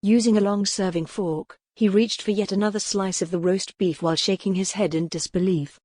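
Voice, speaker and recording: A woman's voice: medium pitch, fairly even intonation, moderate pace, dark tone, dry recording, clean studio sound. A woman reading a scripted work of fiction as an audiobook narrator.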